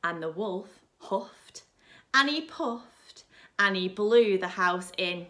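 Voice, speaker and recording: A woman reads a picture book for young children in the manner of a primary teacher. Her voice is bright and forceful, and her delivery is expressive and unhurried. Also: medium pitch. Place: small furnished room at home, indoors.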